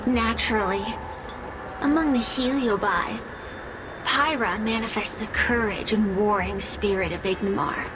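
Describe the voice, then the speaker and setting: A woman's voice: mid-range voice, a little slow, noisy background, natural voice. An actress playing a sly, mocking female spirit in a video game, haughty and theatrical in her drawl. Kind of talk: dramatic game voice acting.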